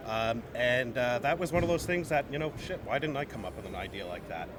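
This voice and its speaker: A man's voice: medium pitch, expressive, brisk. A man speaking as a company representative in an informal trade show interview, emphatic and repeating phrases for stress. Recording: noisy busy venue background.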